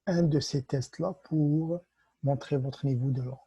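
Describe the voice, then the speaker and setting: A man's voice: mid-range voice, measured pace, dark tone, husky, no room sound, dull audio. A man, casually explaining a process step by step and giving advice straight to the viewer as host of a tutorial video. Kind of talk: casual explainer walking through a website.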